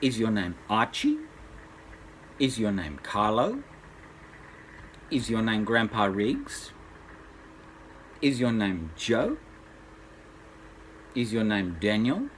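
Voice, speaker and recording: Older man doing anxious fairytale queen, beseeching, up and down pitch, slightly noisy. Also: huge pitch swings, takes it slowly, long pauses, full character voice. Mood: questioning. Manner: beseeching, questioning. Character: young queen, pleading and anxious mother. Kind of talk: bedtime storybook character voice.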